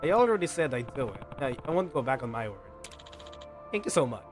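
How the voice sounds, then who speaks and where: A male streamer with a mid-pitched voice reads game dialogue aloud. He is playful and ad-libs freely, tripping over words now and then. Game music plays faintly behind him. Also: big pitch swings, fairly quick. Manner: playful, ad-libbing, stumbles while reading. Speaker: livestreamer. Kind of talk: reading game dialogue aloud with casual commentary. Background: video game music.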